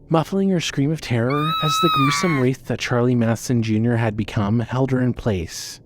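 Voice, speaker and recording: A man reading scripted material as the narrator and announcer of a fiction podcast. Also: mid-range voice.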